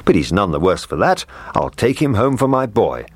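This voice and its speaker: A man voicing a cheerful male cook in a storybook reading, raising his voice into a bright, lively tone. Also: on the low side, moderate pace, slightly rough, pitched above the performer's own voice. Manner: bright, raised put-on voice.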